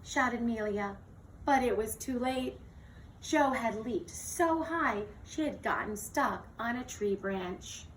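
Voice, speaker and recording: A woman teacher reading a children's picture book aloud in an expressive, theatrical storytelling manner. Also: fairly high pitch, slow pace. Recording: distant mic with faint noise.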